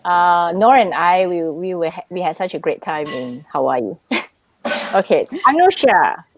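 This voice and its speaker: A woman's voice: lowish voice, animated, measured pace, no echo. A woman hosting a casual live-stream podcast launch over a video call, chatty and upbeat. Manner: chatty, upbeat, drops local particles. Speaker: podcast host.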